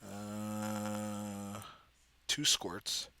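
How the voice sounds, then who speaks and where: Casting: male video editor, very bright and forceful tone, unhurried, halting, casual self-deprecating muttering. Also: on the low side, completely flat delivery, clean tone. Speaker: video editor and comic dubber. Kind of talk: casual thinking-aloud screen-recording commentary.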